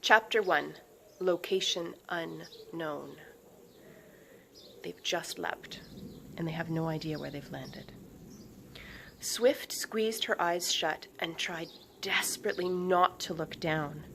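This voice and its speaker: A woman with a slightly low voice reads a children's adventure story aloud. She narrates expressively and dramatically, leaning on key words. There is faint noise in the background. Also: takes it slowly, gravelly, long pauses, sharp tone. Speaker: author reading aloud.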